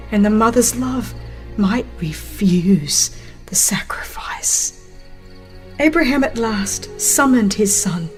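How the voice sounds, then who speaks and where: Female narrator for dramatized religious audiobook: slow, solemn, expressive delivery with dramatic pauses, soft music behind. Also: on the high side.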